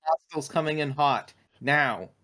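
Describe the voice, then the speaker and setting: A man's voice: fairly high pitch, a little slow, pushed and bright. A man taking part as a player in a tabletop roleplaying game, talking casually and calling out actions. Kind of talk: casual game chat with in-character calls.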